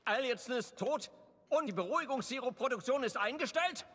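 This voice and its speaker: An actor playing an outraged, sardonic Victorian gentleman villain in a video game. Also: very high voice, lively intonation, fairly quick, exaggerated voice. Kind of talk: German video game dub acting. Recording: long hall reverb.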